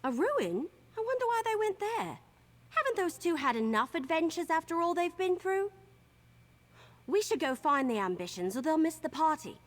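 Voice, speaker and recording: Bubbly young elf heroine for a fantasy game: high-pitched, animated, cheerful and enthusiastic. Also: female voice, normal speed. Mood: curious, urging. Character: eager, cheerful young female wood elf adventurer. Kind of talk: video game character acting.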